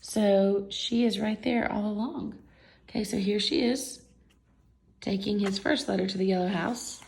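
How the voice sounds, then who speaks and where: A woman's voice: mid-range voice, fairly even intonation, a little slow, vocal fry, darkish tone, low-quality recording. A woman reading a picture book aloud to children, expressively explaining the pictures as she goes. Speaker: story reader for children. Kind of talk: picture book read-aloud with simple commentary.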